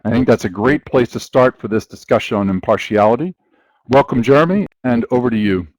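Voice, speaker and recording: A man hosting an online session, presenting in a measured, formal way as he introduces the event and guest. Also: mid-range voice, a little mellow, dry recording, rough recording. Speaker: webinar host introducing a guest speaker. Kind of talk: scripted webinar introduction.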